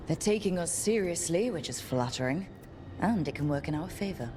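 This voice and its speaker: A woman voicing a resolute fantasy leader in a video game, speaking in a measured, commanding way. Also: deep voice, expressive. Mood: determined. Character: resolute female leader rallying a fantasy war band. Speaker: leader of the team. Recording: music behind the voice.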